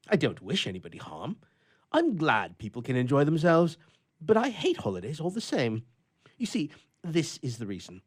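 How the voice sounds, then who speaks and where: A man's voice: fairly high pitch, pitched above the performer's own voice, exaggerated voice. A man voicing an irritable, lonely bachelor in a storybook reading, with emphatic, indignant, old-fashioned oratory. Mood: gloomy indignation.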